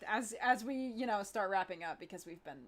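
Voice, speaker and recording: Woman creator in a casual q&a, medium pitch, thinks out loud, halting and informal. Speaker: audio drama writer and producer. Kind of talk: casual chat in a live Q&A.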